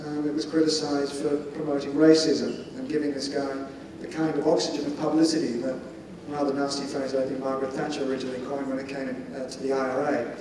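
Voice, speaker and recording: Guy on a panel explaining his view, soft-edged voice, kinda far from the mic, big echo. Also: on the high side. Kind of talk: considered, discursive panel answer. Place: large indoor conference hall. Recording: echoey hall, distant mic, faint noise.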